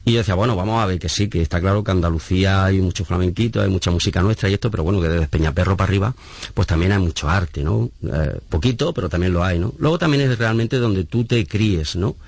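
Middle-aged male radio guest from Madrid, rapid rambling storyteller, dry close sound. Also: sits low, gravelly, darkish tone, slightly rough, dry recording. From Madrid, Spain. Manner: rambling storyteller, tag questions, acts out quoted dialogue. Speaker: musician guest. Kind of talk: casual radio interview chat. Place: radio studio.

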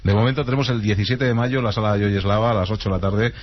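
A male radio host asks questions in a relaxed, friendly way. His voice sits low. The audio is muffled like radio. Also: fast, dry recording. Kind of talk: radio interview.

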